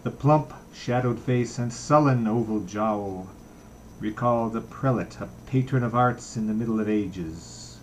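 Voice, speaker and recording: A man's voice: on the low side, measured pace, slight background noise. A man narrating literary fiction, reading a novel aloud as an audiobook reader.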